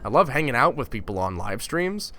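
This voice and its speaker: A man's voice: mid-range voice, sing-song, fairly quick, bright, forceful tone. A young man from Finland, a gaming YouTuber, ranting in a casual, exasperated and sarcastic vlog.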